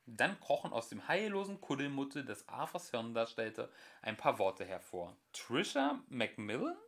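A man in his thirties reading a novel aloud as a homemade audiobook, shifting his voice for the characters. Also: moderate pace. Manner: lively, shifts pitch and loudness for each character. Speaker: audiobook reader. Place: home room, indoors.

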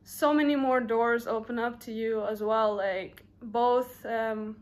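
A woman's voice: on the high side, steady tone, slow. Girl in her 20s, chatty casual answers full of fillers, webcam call. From Lithuania. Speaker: interviewee.

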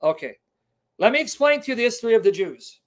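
Man, middle-aged, native Syriac speaker, very high voice, emphatic, repetitive, live stream teaching, webcam, home kitchen. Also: sing-song, fast. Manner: emphatic and repetitive, drilling key terms. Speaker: online apologetics teacher.

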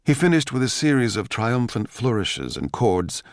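A man playing a bitter, envious male court composer, narrating in a measured, theatrical, sardonic way for radio drama. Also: medium pitch, sing-song, no echo, studio quality. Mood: bitter, awed.

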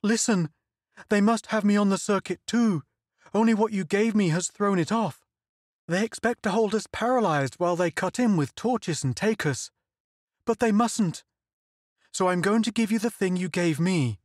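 A man's voice: a little high, animated, a little slow, no echo, clean studio sound. Man putting on a pleading, tearful young woman. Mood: desperate. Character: frightened desperate young woman, devoted. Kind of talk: audiobook character voice.

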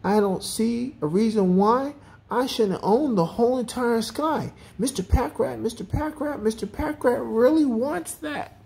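A reader voicing a greedy, excitable male packrat from a children's storybook, theatrical and exclamatory, whiny when upset. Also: mid-range voice, a little slow, gravelly, voice pushed up. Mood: grasping, exuberant.

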